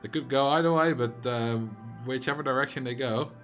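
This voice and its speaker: A man's voice: medium pitch, fairly quick, fairly bright tone, faint music behind. A man with a Dutch accent, a streamer chatting casually and answering chat messages while he plays a game. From Netherlands.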